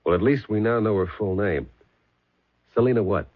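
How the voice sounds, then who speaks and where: An actor playing a persistent police sergeant with a clipped, probing way of questioning, in a 1970s radio drama. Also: male voice, fairly low pitch, expressive, normal speed, breathy edge. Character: persistent middle-aged male police sergeant. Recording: tinny old radio broadcast.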